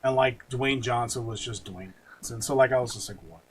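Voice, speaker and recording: A man's voice: medium pitch, fairly quick, husky. A man hosting a podcast in casual, informal chat with some swearing. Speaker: podcast host. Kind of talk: casual chat, film ranking banter.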